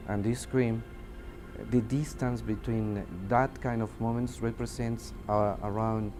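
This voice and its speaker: A Mexican man with a fairly low voice gives interview testimony in a slow, halting way. His native Spanish shapes his grammar, and he often stops to search for words. Music plays clearly behind him. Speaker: air traffic controller giving testimony. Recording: music under the voice.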